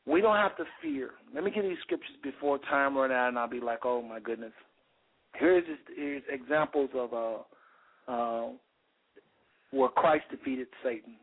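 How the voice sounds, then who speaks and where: A man with a slightly raspy voice hosting a radio show, preaching fervently with rhetorical repetition and reading scripture aloud. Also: medium pitch, a little slow. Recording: phone-like radio call-in sound.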